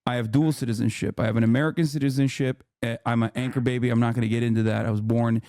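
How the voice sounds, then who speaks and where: A man from Turkey, a native Turkish speaker, hosting a live debate and explaining at length in a patient, deliberate way. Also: medium pitch, fairly even intonation, warm tone, no echo, clean studio sound.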